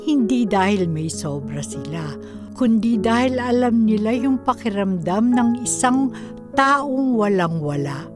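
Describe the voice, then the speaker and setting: A woman's voice: medium pitch, lively intonation, a little slow. A woman with a Filipino accent reading scripted narration for a radio drama. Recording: music behind the voice.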